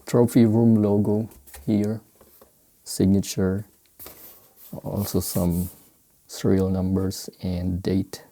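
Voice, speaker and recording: Dark-voiced, unhurried Filipino man. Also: sits low, level tone. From Philippines. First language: Filipino language. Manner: halting, searching for words. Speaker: sneaker unboxing vlogger. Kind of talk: casual unscripted product commentary.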